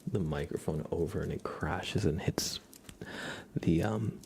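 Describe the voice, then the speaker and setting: A man hosting an ASMR livestream in a gentle, hushed voice that often drops into a whisper, close to the microphone. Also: deep voice, unhurried, creaky, slight background noise. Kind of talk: ASMR, soft-spoken and whispered livestream chat.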